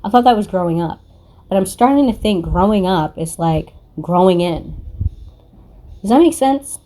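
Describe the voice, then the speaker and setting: A woman's voice: medium pitch, animated, moderate pace, warm tone. A woman giving a casual spiritual card reading in a blunt, confrontational manner, swearing freely. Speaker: tarot card reader and spiritual content creator.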